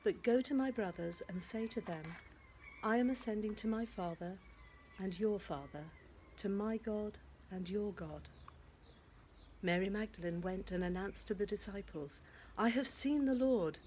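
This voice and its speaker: A woman reads scripture aloud with deliberate care, at a middling pitch. Faint birdsong and ambient noise sit behind her voice. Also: a little mellow. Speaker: Bible reader. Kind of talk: scripture reading.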